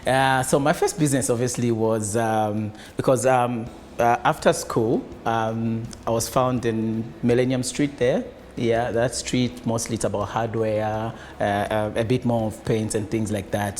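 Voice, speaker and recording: A man's voice: medium pitch, darkish tone, slight background noise. A man from Zambia, a business founder, answering interview questions in a hesitant way with many fillers. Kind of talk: conversational interview.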